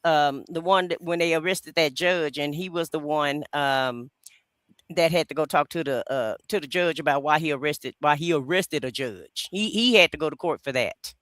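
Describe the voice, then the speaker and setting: A man's voice: fairly high pitch, moderate pace, fairly bright tone, dry recording, studio quality. A man co-hosting a podcast, commenting casually on a video clip, explaining loosely with many fillers and some amusement. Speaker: podcast co-host.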